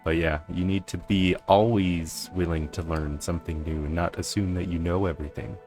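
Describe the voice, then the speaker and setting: A soft-spoken game streamer giving casual, musing commentary in a quiet voice. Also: sits low, steady tone, moderate pace. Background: faint game music.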